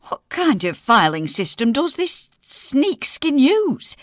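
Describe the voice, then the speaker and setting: An actress playing a blunt, sardonic weaver with a Northern English accent and a dry, colloquial manner in a comedy audio drama. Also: female voice, sits high, sing-song, slow pace, no room sound, exaggerated voice. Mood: dry exasperation. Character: blunt, sardonic female weaver helping the queen.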